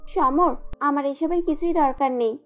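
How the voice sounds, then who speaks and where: A woman voicing a gentle, humble, devoted young bride in a cartoon. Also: high voice, unhurried, dull audio, soft background music. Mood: gentle, reassuring.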